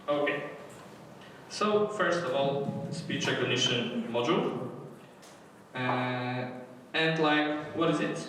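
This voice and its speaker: A man's voice: medium pitch, fairly bright tone. Polish-accented man in an echoey hall. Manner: hesitant, many fillers and restarts. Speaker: workshop presenter. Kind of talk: live coding workshop talk. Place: conference hall. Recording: echoey hall, distant mic, faint noise.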